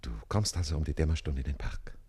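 An actor playing a jealous, lecherous, haughty count in a radio play, theatrically swinging between muttering and outbursts. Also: male voice, extremely low pitch, fast talker, mellow, breathy edge, heavily acted. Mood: sly, seductive. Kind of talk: classic German radio play acting.

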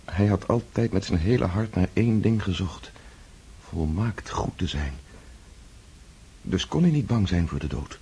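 An actor playing Pierre, an earnest young count in a radio play, who speaks in a searching, low-key way. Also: male voice, lively intonation, unhurried, gravelly, long pauses, dark tone, slightly rough, exaggerated voice.

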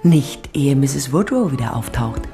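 A woman's voice: rumbling low, expressive, measured pace, loud music behind the voice, full character voice. An actress playing a tough, wry female private investigator in a German radio crime drama, blunt and dry. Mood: brisk, pressing. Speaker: narrator and lead actress.

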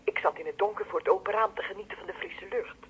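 A woman's voice: medium pitch, quick pace, slightly rough. An actress playing a watchful, deferential female neighbour in a radio play, hesitant yet insistent, with a Netherlandic Dutch accent, heard through a telephone filter. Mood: polite, explaining. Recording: muffled, band-limited telephone line.

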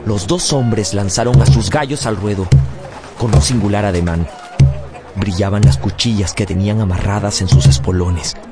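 An actor narrating a radio drama as a man solemnly recounting a boyhood memory in measured, literary phrasing. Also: lowish voice, natural voice. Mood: solemn, suspenseful. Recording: loud music bed behind studio voice.

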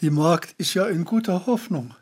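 An actor playing a blunt medical examiner in a period radio drama, terse, clinical and wry. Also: male voice, sits high, normal speed, darkish tone. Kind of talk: German radio drama acting in period speech.

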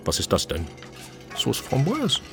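A man's voice: medium pitch, wildly swooping pitch, unhurried, gravelly, over music, heavily acted. An actor playing a wry, food-obsessed chef who narrates his own story in a German crime radio play. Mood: dazed, indignant. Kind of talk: dramatic first-person narration and radio play acting.

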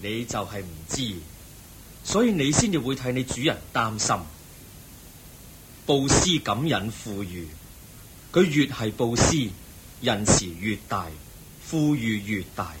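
An actor with a Hong Kong Cantonese accent voicing a calm, patient Buddha who gently teaches. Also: male voice, medium pitch, takes it slowly, pauses often, background noise. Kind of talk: Cantonese radio drama acting.

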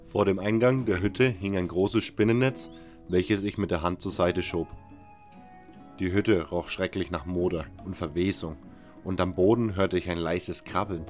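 Man as low-voiced brooding convict narrator, music underneath. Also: fairly even intonation, measured pace, darkish tone. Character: nameless male convict narrator, wary, stubborn, brooding. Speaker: first-person narrator. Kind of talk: scripted fan audio drama narration.

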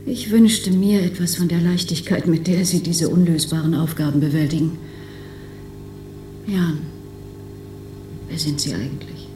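An actress playing a dutiful, cool clinic test examiner in a German radio play. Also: female voice, low-pitched, fairly even intonation, unhurried, pauses often, warm tone, slight background noise. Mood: restrained. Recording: roomy, echoing studio sound.

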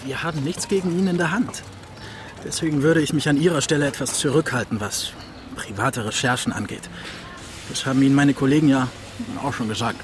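An actor playing an easygoing, modest police officer in a radio drama, talking in a casual, understated, conciliatory way. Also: male voice, medium pitch, a little fast, background noise, lightly acted. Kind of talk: naturalistic radio drama acting.

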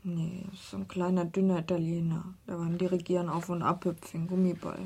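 An actress playing an indignant young music student in a radio drama, blunt and complaining. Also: female voice, fairly low pitch, level tone, fairly quick, creaky, darkish tone, breathy edge. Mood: sulky. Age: young adult. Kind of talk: naturalistic radio drama dialogue.

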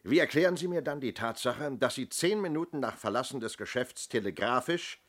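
An actor playing a firm, coolly courteous police inspector who questions formally and pointedly in a German radio play. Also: male voice, medium pitch, lively intonation, fairly quick, a bit bright. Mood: probing.

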